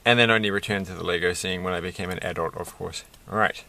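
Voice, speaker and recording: A middle-aged man with a croaky voice, chatting casually and haltingly through a hobby build, often searching for words. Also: low voice, fairly even intonation, sharp tone, a little hiss or hum. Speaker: Lego build video creator. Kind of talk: casual hobby vlog, unscripted commentary while building. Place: indoors at a desk.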